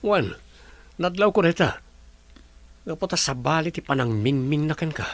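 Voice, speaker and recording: A man's voice: fairly high pitch, expressive, moderate pace, no room sound. A man playing a hot-tempered wealthy man in a radio drama, speaking angrily. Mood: earnest. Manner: angry, threatening.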